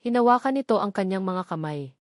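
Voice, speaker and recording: A woman's voice: medium pitch, expressive, fairly quick, no room sound, studio quality. A woman reading a scripted dramatic love story as its narrator.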